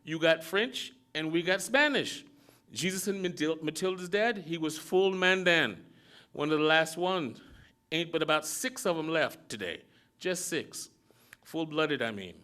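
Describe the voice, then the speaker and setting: A man voicing a gruff, scolding old mechanic. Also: on the high side, animated, unhurried, sharp tone, voice pushed up. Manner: lecturing, drawling, rough.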